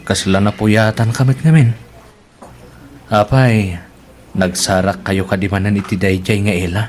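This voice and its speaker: A man's voice: on the low side, fairly even intonation, measured pace, slight background noise, close to an everyday voice. A man with a Filipino accent acting a concerned, plain-spoken friend in an audio drama, frank and admonishing. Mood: curious, teasing. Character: concerned, plain-spoken male friend warning his buddy.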